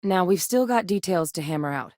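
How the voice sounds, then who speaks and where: An actress voicing a capable, guarded military scientist in a video game, speaking in a measured, earnest, matter-of-fact way. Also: female voice, lowish voice, expressive, a little mellow, no echo, studio quality, lightly acted. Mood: measured, businesslike. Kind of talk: video game character voice lines.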